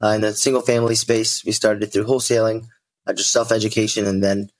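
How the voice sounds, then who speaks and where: A man's voice: fairly low pitch, little pitch movement, quick pace, low-quality recording. A man of twenty, a podcast guest, talking hesitantly with many fillers. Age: 20s. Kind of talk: casual interview talk.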